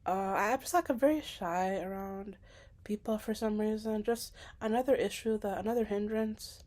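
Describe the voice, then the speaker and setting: A woman's voice: mid-range voice, moderate pace. A young woman in a casual, personal vlog monologue. She talks hesitantly and self-consciously, fills her sentences with filler words, and sometimes drops to a whisper. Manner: soft-spoken, at times dropping to a whisper. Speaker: vlogger.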